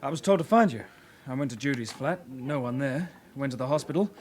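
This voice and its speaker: An actor playing a young, put-upon detective constable, earnest and dutiful, in a British radio crime drama. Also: male voice, medium pitch, lively intonation, fairly quick, darkish tone, natural voice. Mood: earnest, flustered. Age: young adult.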